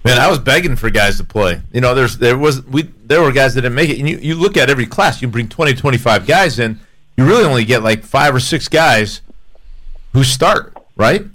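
A man's voice: medium pitch, lively intonation, a little fast, a bit bright. An American man, a former player, chatting casually and informally on a sports talk panel.

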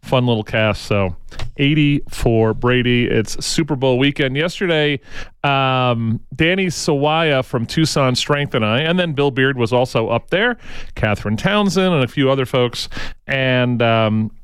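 Rambly talk radio guy in the studio, smooth clear voice, dry close mic. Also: medium pitch, measured pace, dry recording. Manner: chatty, rambling, many fillers and restarts. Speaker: radio talk show host. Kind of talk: casual talk radio chat. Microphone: studio microphone.

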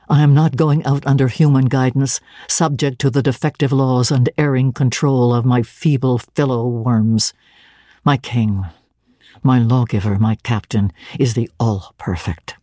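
A woman's voice: rumbling low, fairly even intonation, measured pace, mellow, dry recording, clean studio sound, voice pushed down. A woman voicing a stern, zealous young clergyman who speaks with solemn, commanding deliberation.

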